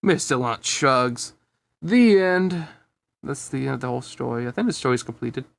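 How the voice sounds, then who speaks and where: A man's voice: medium pitch, expressive, normal speed, gravelly, no room sound. A man reading a fan fiction story aloud casually, often breaking off to comment on it with a chuckle. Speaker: amateur fan fiction reader.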